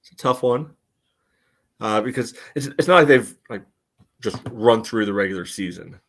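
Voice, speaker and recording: Male podcast co-host and club historian, lower register, casual but considered, feels his way through each point. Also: lively intonation. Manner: halting, hedging, thoughtful. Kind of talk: casual sports podcast chat.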